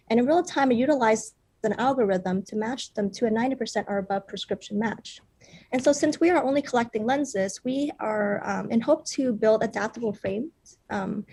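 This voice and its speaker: A young woman founder delivers her pitch earnestly, often pausing on small fillers. Her voice sits a bit high, with a mellow, darker tone. There is a husky grain to it throughout. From Vietnam. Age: young adult. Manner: earnest, with frequent fillers like "um" and "you know". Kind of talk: startup pitch presentation.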